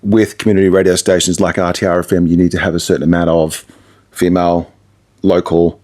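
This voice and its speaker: A man's voice: low-pitched, little pitch movement, a little fast, darkish tone. A middle-aged man with an Australian accent, talking casually as a radio presenter in an interview.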